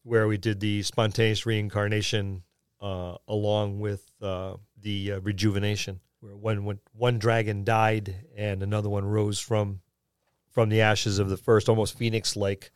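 A man with a fairly low, resonant voice co-hosts a gaming podcast. He talks casually and conversationally. The recording is dry and close, with little room sound. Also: level tone, moderate pace, smooth, no room sound. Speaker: podcast co-host. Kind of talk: casual tabletop gaming discussion.

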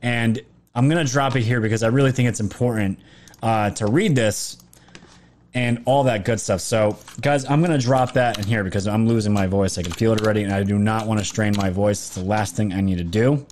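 A man's voice: on the low side, brisk, darkish tone, slight background noise. A man with a sore, fading voice talking through a casual livestream monologue as a political commentator, rambling and emphatic, often restarting his phrases. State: throat sore, losing his voice.

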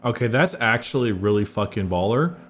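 A man's voice: lowish voice, expressive, brisk, a loud crowd. A man hosting a podcast, opening the show and chatting casually with crude jokes. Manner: crude, joking. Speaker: podcast host. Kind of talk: casual chat.

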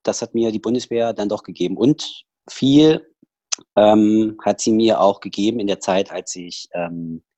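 A man's voice: on the low side, measured pace, warm tone, no echo. A man with a Saxon accent, a podcast guest talking casually over a headset, rambling with many fillers and restarts. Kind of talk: casual personal interview talk.

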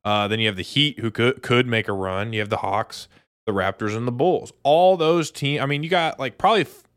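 A man's voice: mid-range voice, a little fast, a bit bright, no room sound, studio quality. A man co-hosting a podcast, chatting casually about sports in informal, slangy buddy talk. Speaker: podcast co-host.

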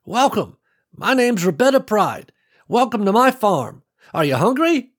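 A man voicing a warm, folksy old country woman who welcomes visitors to her farm. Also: sits high, sing-song, a little slow, voice pushed up, exaggerated voice. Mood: cheerful.

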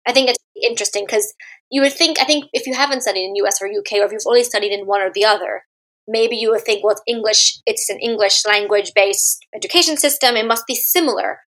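A woman hosting a podcast, reading an introduction and then asking measured, polite questions. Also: mid-range voice, fairly bright tone. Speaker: podcast host. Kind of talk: scripted intro and interview questions.

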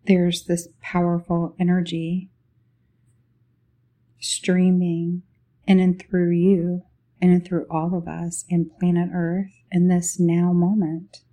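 A woman leading a guided spiritual meditation as host, speaking in short, unhurried phrases with long pauses. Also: lowish voice, monotone, dark tone.

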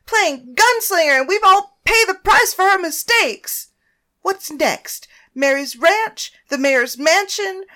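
A woman's voice: very high voice, pushed and bright, voice pushed up, heavily acted. A woman reading a story voices a grieving, furious woman who screams accusations, shrieking and near tears.

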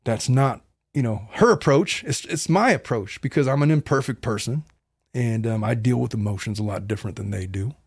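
A man's voice: medium pitch, moderate pace, vocal fry, husky, dry recording, clean studio sound. A middle-aged man hosting his own podcast as a family law attorney, talking bluntly and earnestly in a long, rambling monologue.

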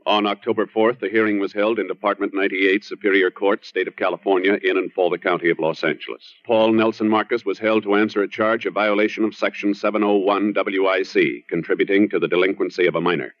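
A man with an American accent reading scripted 1950s radio announcements in a formal, measured way. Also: on the low side, steady tone, no echo. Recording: dull old radio recording.

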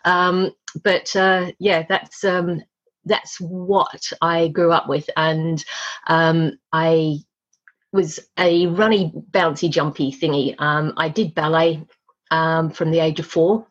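A woman's voice: low voice, level tone, slow pace, muffled recording. A woman who grew up in Australia, a guest on an interview podcast, chatting casually with frequent laughs and many ums.

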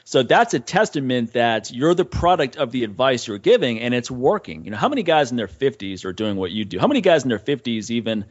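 An enthusiastic man of forty hosting a casual podcast. His pitch swings up and down in a lively way. The sound is dull and lo-fi. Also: a little fast, few pauses. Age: 40s. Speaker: podcast host.

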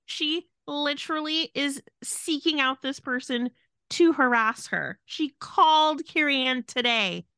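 Woman podcaster chatting, kinda high voice, incredulous and exasperated, really dry close sound. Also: unhurried, sharp tone, no echo. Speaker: podcast co-host. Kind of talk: casual opinionated chat.

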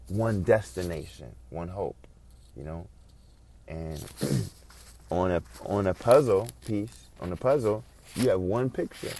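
A man's voice: low-pitched, creaky, a little mellow. A man preaching an informal sermon, earnest and reflective, repeating and building on his phrases.

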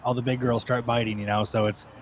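A man's voice: medium pitch, flat delivery, fast, a little hiss or hum. A man in his thirties from Michigan, chatting casually as a podcast guest in a rambling, talkative way. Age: 30s. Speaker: guest angler.

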